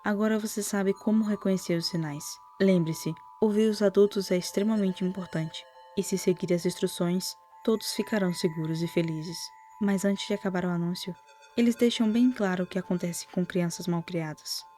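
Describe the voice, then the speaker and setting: A Brazilian woman narrating a scripted horror commentary in a soft-spoken way, with lightly wry asides. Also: fairly low pitch. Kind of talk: whispered-style scripted narration, storytelling commentary. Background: music.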